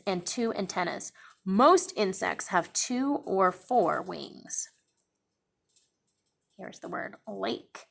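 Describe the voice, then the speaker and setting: A young woman teacher reads a picture book to children at a slow, patient pace. Her pitch swings widely as she explains words and pages, and her bright, clear tone carries a gravelly edge at the ends of phrases. She is recorded close on a desk webcam in a classroom. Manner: slow, expressive storytime reading with explanations.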